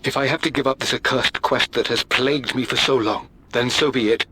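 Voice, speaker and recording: A man's voice: medium pitch, fairly even intonation, fairly quick, sharp tone, close to an everyday voice. An actor playing a middle-aged British scholar, earnest and emotive, reading his journal as a game voice-over. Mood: desperate resolve. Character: obsessive scholarly British father, earnest and brooding.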